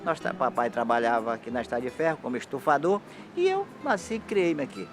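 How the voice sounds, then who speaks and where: A man's voice: mid-range voice, very animated, brisk, faint music behind. A Brazilian man explaining old photographs of his hometown in a casual, pointing-out way, often repeating "here" and "look". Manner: pointing things out, repeating "aqui" and "olha". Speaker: local resident showing old photos.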